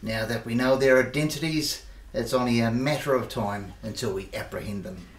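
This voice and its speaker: A man's voice: mid-range voice, normal speed, lightly acted. A man playing a blustering middle-aged police sergeant in an amateur radio play, barking orders. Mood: steady. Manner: barks orders, frantic in the chase. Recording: distant, roomy, noisy amateur recording.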